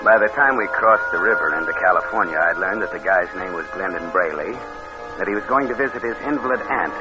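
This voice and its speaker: A man's voice: low-pitched, fast, natural voice. Old radio drama, guy acting a cynical young drifter, slangy wisecracks, music under, muffled sound. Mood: sly, wry. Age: 20s. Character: scheming cynical young drifter narrating his own crime. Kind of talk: 1940s radio acting, hard-boiled narration.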